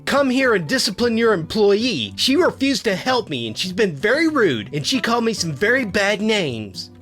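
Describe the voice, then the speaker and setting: Male narrator as entitled older woman customer, shrill, high-pitched, indignant, storytime figure, music behind. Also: very animated, moderate pace, a bit bright. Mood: indignant, demanding. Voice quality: shrill, screechy. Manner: indignant, demanding.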